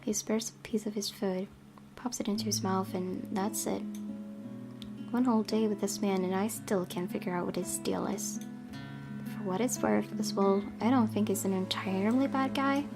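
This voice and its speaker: Creaky-voiced woman reading a game aloud. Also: mid-range voice, steady tone, moderate pace. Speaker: let's play host. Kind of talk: reading a visual novel aloud with casual commentary. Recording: game music behind the voice.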